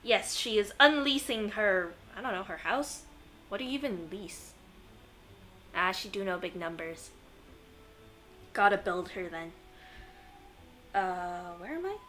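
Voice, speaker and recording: A woman's voice: medium pitch, a little slow, pauses often, sharp tone, a little hiss or hum. A woman streaming a video game with casual commentary, playful and given to rambling asides to herself. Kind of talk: casual gameplay commentary, reading game text aloud.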